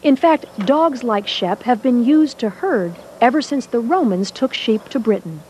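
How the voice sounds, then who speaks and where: A woman reading scripted documentary narration in a measured, even way. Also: on the high side, slight background noise.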